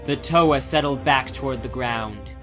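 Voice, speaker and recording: A man's voice: mid-range voice, unhurried, a bit bright. A man giving a scripted, dramatic narration of a fantasy audiobook. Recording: music behind the voice.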